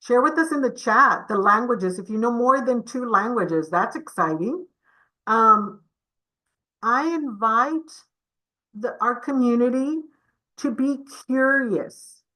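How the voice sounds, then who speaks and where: A woman's voice: fairly high pitch, expressive, slow pace, sharp tone, poor audio. A woman giving a community webinar presentation over a video call, encouraging and addressing the audience with questions.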